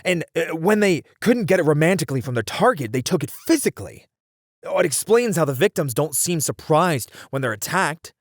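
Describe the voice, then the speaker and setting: Guy playing a driven detective in an asmr roleplay, thinking out loud, haltingly, pitch moves around a lot, super dry recording. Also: animated, dry recording. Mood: startled, intrigued. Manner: halting, musing, thinking aloud. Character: driven male detective piecing together a serial killer case. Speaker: voice actor in a roleplay. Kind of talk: ASMR roleplay, dramatic one-sided dialogue.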